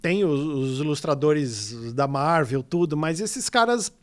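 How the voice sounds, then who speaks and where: Talkative Paulista man, chatty and animated. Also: a little high, takes it slowly. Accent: São Paulo Portuguese. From São Paulo state, Brazil. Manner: rambling, animated storytelling with many fillers. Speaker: illustrator guest. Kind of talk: casual podcast interview.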